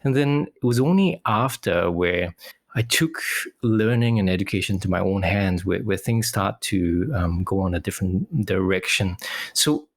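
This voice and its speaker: A middle-aged man from Singapore, a psychologist guest, talking quickly and haltingly with many fillers. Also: low-pitched, animated, no room sound, studio quality. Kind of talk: casual interview talk.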